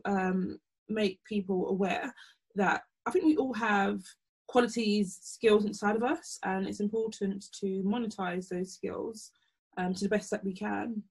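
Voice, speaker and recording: A young woman with a croaky voice co-hosting a podcast, talking casually with many pauses. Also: mid-range voice. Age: young adult. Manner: relaxed, hesitant, many ums. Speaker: podcast co-host. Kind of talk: casual chat.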